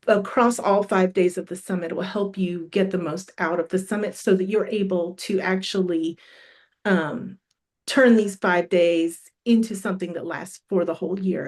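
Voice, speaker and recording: Woman with a cold hosting a live stream, voice fading, cheery and encouraging, lots of ums. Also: on the low side. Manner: friendly, encouraging, many ums and restarts. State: has a cold, losing her voice. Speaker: summit host.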